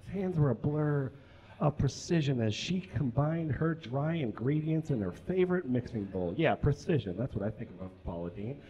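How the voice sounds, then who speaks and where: A man's voice: mid-range voice, animated, moderate pace, mellow, lo-fi sound. A young man reading a story aloud on a small stage in a theatrical, mock-serious comic style with ad-libbed asides, picked up by a camera a few metres away. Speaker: live comedy reader. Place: small indoor stage with curtains.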